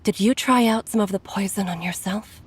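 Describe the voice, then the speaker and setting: A woman's voice: medium pitch, normal speed, a little hiss or hum, lightly acted. Woman playing a weary sighing healer mother. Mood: earnest. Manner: earnest, soft, sighing. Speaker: game character. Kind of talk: video game voice acting.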